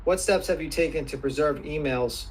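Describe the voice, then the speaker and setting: A man questioning a witness as an attorney, formal and persistent, repeating questions deliberately. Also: fairly high pitch, a little hiss or hum. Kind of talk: formal deposition cross-questioning.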